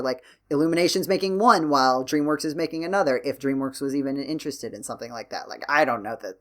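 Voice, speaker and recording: A man hosting a casual podcast discussion, explaining his thoughts in a rambling, hesitant way. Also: medium pitch. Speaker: podcast host.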